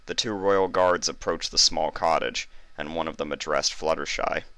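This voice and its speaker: A man narrates a story from a script. His voice is extremely deep, yet bright and forceful, and recorded very dry. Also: steady tone, moderate pace, no room sound.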